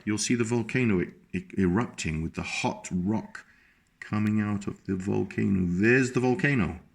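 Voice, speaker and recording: A man reading a picture book aloud to children, slowly and gently, stopping often to point out the pictures. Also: fairly low pitch, gravelly.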